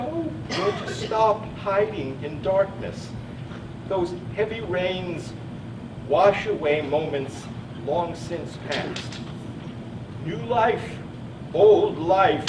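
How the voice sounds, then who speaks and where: An actor playing an earnest, talkative mental patient who writes poetry, speaking deliberately in a stage play. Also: male voice, sits high, distant mic, heavily acted. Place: theatre stage, picked up at a distance. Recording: echoey, noisy stage recording from afar.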